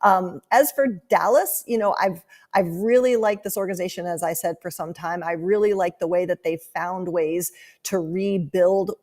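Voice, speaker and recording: A woman's voice: fairly low pitch, normal speed, darkish tone. A woman giving conversational sports analysis as a studio analyst guest.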